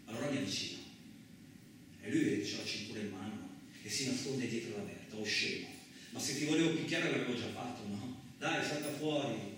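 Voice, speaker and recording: A man acting in a radio play as a gruff, crude truck driver who tells his story in blustering, rambling, colloquial speech. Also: medium pitch, fairly quick, fairly bright tone, lightly acted. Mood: grumbling. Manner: blustering, rambling, conversational, mildly swearing. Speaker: actor in a solo radio play. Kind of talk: colloquial theatrical radio monologue. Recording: roomy, echoing distant miking with faint noise.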